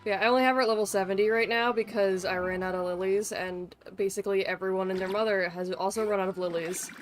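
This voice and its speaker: A woman's voice: mid-range voice, little pitch movement, fast talker. Casual, playful female game streamer. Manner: informal, rambling, playful teasing, swears. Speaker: livestreamer. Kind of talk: casual gaming stream chat. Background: music in the background.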